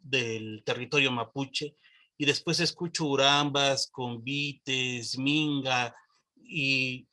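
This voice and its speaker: Bright-voiced Mexican man reflecting aloud. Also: medium pitch, fairly even intonation, slow pace. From Oaxaca, Mexico. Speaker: panel speaker. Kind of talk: reflective spontaneous talk.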